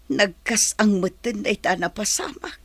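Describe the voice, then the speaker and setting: A woman playing an anxious, devout old mother in a radio drama, with a husky voice. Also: medium pitch, unhurried, dry recording, lightly acted. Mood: anxious relief. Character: anxious, devout old village mother fussing over her son.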